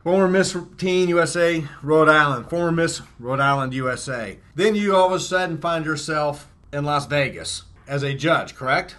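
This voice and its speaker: A man interviewing a guest, asking brisk prompting questions. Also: on the high side, a bit bright.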